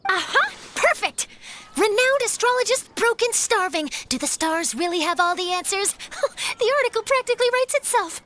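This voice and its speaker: A woman's voice: very high voice, animated, moderate pace, hardly any pauses, fairly bright tone. A woman voicing an eager young female news reporter in a video game, perky, persuasive and theatrical. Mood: excited. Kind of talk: animated video game voice acting.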